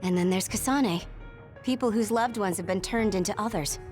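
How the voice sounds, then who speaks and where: A woman's voice: mid-range voice, moderate pace, a little mellow, faint music behind, natural voice. A woman playing a cool, knowing, matter-of-fact female officer in an anime-style video game, with a dry explanatory manner. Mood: grave, explanatory.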